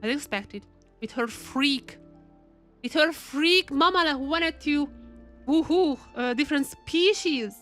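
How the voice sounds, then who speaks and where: Bright, high-voiced woman streaming a game. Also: lively intonation, a little slow, faint music behind. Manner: repeats phrases while thinking aloud. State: getting over a cold, voice wearing out. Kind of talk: casual live gameplay commentary to chat.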